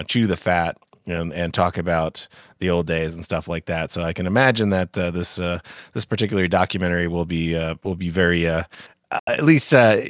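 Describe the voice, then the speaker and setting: Male co-host, very low voice, stuffy from a head cold, rambling chat full of "uh"s, dry close sound. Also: no room sound. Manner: rambling, many fillers and restarts, laughs often. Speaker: podcast co-host. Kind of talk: casual chat about amateur radio news.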